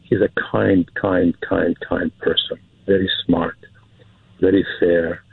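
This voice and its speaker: A man's voice: deep voice, little pitch movement, slow pace, gravelly, slightly rough, dry recording. A man from Syria, a film producer speaking as an interview guest, hesitant and repeating words for emphasis. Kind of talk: casual interview talk. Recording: dry, phone-like narrow band.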